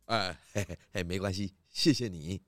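A man's voice: sits low, moderate pace, faint music behind, lightly acted. A man playing a genial, methodical pig detective inspector in a children's audio drama, questioning in a polite, easygoing way. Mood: probing, genial.

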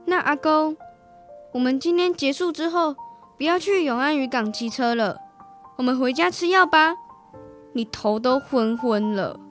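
An earnest little boy with a Taiwanese accent, devoted to his grandpa. The voice is extremely high and childlike, set over music in a radio play. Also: unhurried, a bit bright. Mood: concerned, caring. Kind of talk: radio drama acting.

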